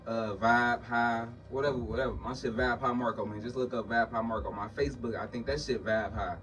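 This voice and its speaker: A man's voice: medium pitch, fairly even intonation, a little fast, fairly bright tone, far from the mic. A man from Chicago and Detroit, answering interview questions in a laid-back, slangy way and repeating himself. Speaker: rapper and podcast guest. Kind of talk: casual interview.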